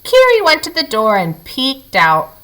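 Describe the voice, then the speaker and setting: Lady doing a kids storybook read-aloud, really animated, bright voice, dramatic emphasis. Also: high voice, measured pace. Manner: animated, dramatic emphasis, addressing young listeners. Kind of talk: expressive children's picture-book read-aloud.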